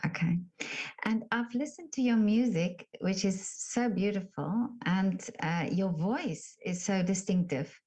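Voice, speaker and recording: A woman's voice: medium pitch, unhurried, darkish tone, poor audio. A woman interviewing a guest over a video call, effusive and complimentary, with many fillers.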